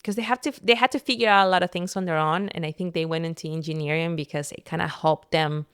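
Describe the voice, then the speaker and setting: Smooth-voiced woman, lower pitched. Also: steady tone, fast, dry recording, studio quality. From Peru. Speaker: podcast guest, entrepreneur and content creator. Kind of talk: personal storytelling in casual conversation.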